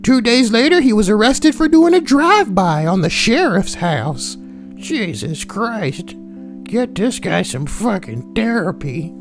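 Woman with lively, crude comic delivery. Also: medium pitch, a little slow, music in the background. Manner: crude, sweary, joking asides. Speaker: online narrator and commentator. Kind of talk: reading forum posts aloud with crude comic commentary.

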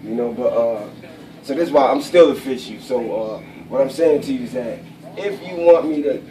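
Man pitching goods in a roomy shop. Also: normal speed, dark tone, a little hiss or hum. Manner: slangy, repeats himself. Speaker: salesman of barber supplies. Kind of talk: casual sales pitch in a chat. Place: barbershop, indoors. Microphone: camera a few steps away. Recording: roomy, distant mic, chatter around.

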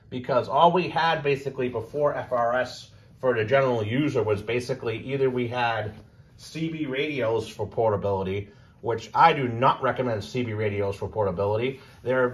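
A man giving a casual, unscripted explainer as a hobbyist presenter, halting, repeating himself and trailing off. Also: medium pitch. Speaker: presenter of a radio hobby video.

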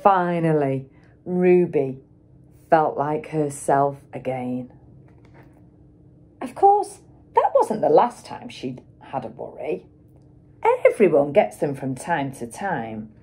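Slow, very animated woman reading a storybook. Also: low voice, breathy edge. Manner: expressive, dramatic emphasis and pauses for young children. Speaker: schoolteacher reading aloud. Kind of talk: children's picture book storytelling.

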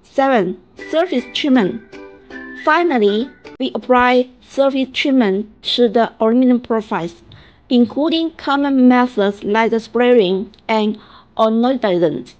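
Female factory presenter, Chinese accent, fairly high voice, halting scripted delivery over music. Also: darkish tone, highs cut off. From China. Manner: halting reading, stumbling over and repeating phrases. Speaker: factory representative presenting products. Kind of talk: scripted promotional narration.

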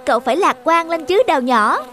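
A woman voicing a cheerful, optimistic older-sister peach tree in a cartoon, speaking in a gentle, soothing manner. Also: high voice, expressive, a little fast, slight background noise. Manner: soothing, wondering. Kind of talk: animated cartoon dialogue.

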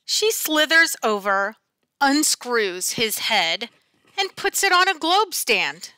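A woman reads a children's picture book aloud like a teacher to a class. Her voice is fairly high and bright, and her delivery is slow and dramatic. The sound is dry and close. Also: sing-song, clean tone, dry recording, poor audio. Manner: dramatic, deliberate storytelling. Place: small classroom, indoors. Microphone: headset.

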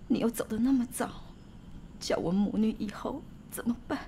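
A woman's voice: fairly high pitch, normal speed, warm tone, a little hiss or hum, close to an everyday voice. A woman playing a worried widowed mother of a schoolgirl in a radio drama. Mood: anguished, complaining. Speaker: voice actress.

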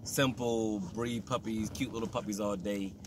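A man with a lowish voice conducts a loose, rambling interview aboard an open motorboat. He is picked up from a distance by the mic. Wind, water and engine noise roar loudly behind him. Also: fairly even intonation, moderate pace. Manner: loose, rambling, quotes dogs and callers. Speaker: interviewer and dog breeder. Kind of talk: casual interview chat. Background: boat motor and water.

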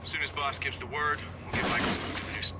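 An actor playing an armed soldier guard in clipped military radio talk. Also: male voice, mid-range voice, level tone, quick pace, very noisy, natural voice.

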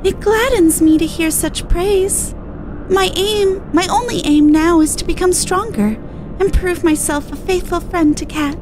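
A woman's voice: very high-pitched, unhurried, background noise, pitched above the performer's own voice. A woman voicing an innocent, devoted newborn weapon spirit in a fantasy game, wide-eyed and formal. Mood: glad devotion.

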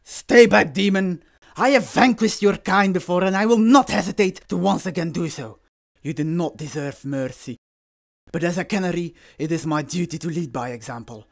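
A man's voice: high-pitched, vocal fry. Man acting a stern, honorable warrior mentor snake. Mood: commanding. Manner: formal, measured, to the point. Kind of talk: animated series character acting.

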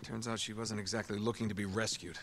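A man's voice: fairly low pitch, flat delivery, quick pace, fairly bright tone. An actor playing an easygoing male adventurer in a game, speaking in dry, offhand quips. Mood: wry.

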